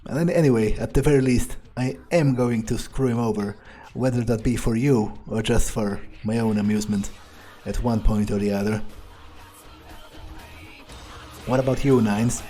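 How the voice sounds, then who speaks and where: A man's voice: mid-range voice, steady tone, moderate pace, few pauses, warm tone, faint music behind, natural voice. A man roleplaying a callous, amused vampire in a video game, musing in a drawling, self-satisfied way. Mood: scheming, casual. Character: smug, amused, callous male vampire schemer. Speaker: gamer playing in character.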